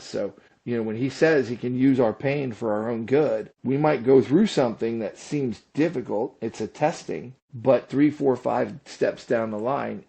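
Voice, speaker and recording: Gravelly, mellow man speaking earnestly. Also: mid-range voice. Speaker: author, podcast guest. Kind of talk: earnest interview talk.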